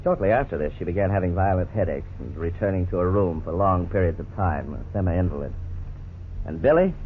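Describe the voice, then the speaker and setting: A man with a deep, low voice narrates in a grave, measured way, in scripted 1950s radio style. The sound is thin and phone-like, with faint background hiss. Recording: tinny old radio broadcast, faint hiss.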